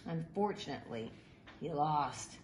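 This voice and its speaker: A woman reading a children's picture book aloud in an expressive, animated way, with deliberate emphasis. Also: sits low. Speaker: storybook reader. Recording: roomy echo, faint background noise.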